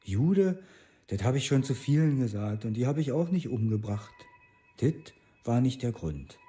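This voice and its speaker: An actor playing an aggressive, defensive young ex-convict, blunt and colloquial, in a radio play. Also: male voice, medium pitch, sing-song, normal speed, dark tone, natural voice. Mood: defiant. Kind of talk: documentary radio play monologue.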